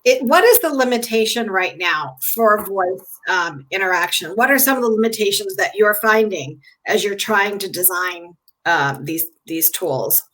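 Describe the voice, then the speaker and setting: A woman's voice: mid-range voice, animated, normal speed, fairly bright tone, poor audio. A woman hosting a casual chat who asks questions, often restarting them partway through. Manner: restarts questions mid-sentence. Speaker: host.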